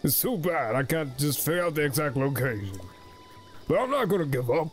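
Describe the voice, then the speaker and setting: A man's voice: fairly high pitch, big pitch swings, a little fast, faint music behind. A man reading as a wistful, determined traveling painter in a video game, conversational and earnest. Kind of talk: voicing video game dialogue.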